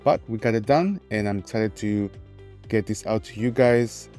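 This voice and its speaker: A male podcast host talks in an earnest, casual way, in short phrases that sometimes restart. His voice sits a little low. Faint guitar music plays beneath his intro. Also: moderate pace, darkish tone.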